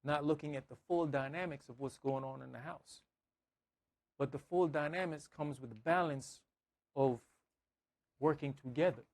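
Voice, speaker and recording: A man with a fairly high voice delivers a conversational sermon. He speaks as a preacher, driving points home with emphatic repetition and everyday examples. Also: moderate pace, pauses often, a little mellow. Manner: emphatic, repeating phrases, acting out dialogue. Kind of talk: conversational sermon with scripture reading and anecdotes.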